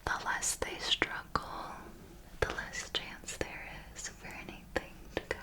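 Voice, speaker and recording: A centuries-old female vampire mentor, played by a woman, whispers in an ASMR roleplay. She draws her phrases out very slowly and deliberately, doting on her listener with patient, amused, predatory menace. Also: natural voice. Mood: amused, menacing. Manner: slow, deliberate, hushed, doting yet menacing.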